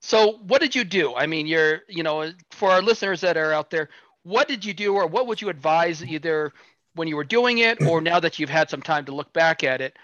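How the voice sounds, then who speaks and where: A man's voice: fairly high pitch, expressive, brisk, fairly bright tone, dry recording. A man hosting a podcast, asking questions in a friendly, lightly teasing way. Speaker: podcast host. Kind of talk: casual interview questions. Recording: dry, dull lo-fi sound.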